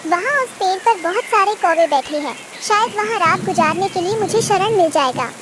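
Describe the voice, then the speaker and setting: A woman voicing a small, gentle, pious female bird with a polite, pleading manner in a children's cartoon story. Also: very high voice, expressive, normal speed. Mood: worried. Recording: loud music behind.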